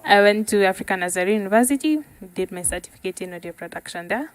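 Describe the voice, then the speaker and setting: A woman's voice: mid-range voice, lively intonation, quick pace, dry recording. Kenyan woman guest, dry close sound. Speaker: guest, sound engineer and musician. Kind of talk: casual interview storytelling.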